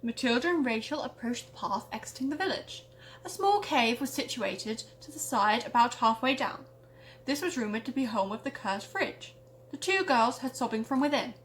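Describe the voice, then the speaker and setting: A woman's voice: a little high, lively intonation, moderate pace, slight background noise, rough recording. A woman reading her own parody tale aloud with a theatrical storytelling delivery. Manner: theatrical, exaggerated delivery when narrating.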